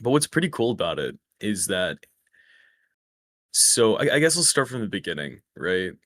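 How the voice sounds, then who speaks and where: A man with a slightly raspy voice, rambling through a story in casual chat over a voice call. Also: fairly low pitch, big pitch swings, moderate pace, a bit bright, no room sound. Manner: rambling, self-correcting storyteller. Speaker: stream guest. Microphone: close microphone.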